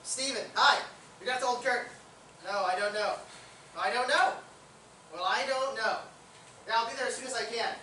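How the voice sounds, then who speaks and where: An actress playing a flustered, earnest amateur sleuth in a murder-mystery stage farce, speaking emphatically. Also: female voice, on the high side, normal speed, long pauses, pushed and bright. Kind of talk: comic stage acting at a staged reading. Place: theatre stage, recorded from audience distance. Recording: echoey theatre, distant mic, clear noise.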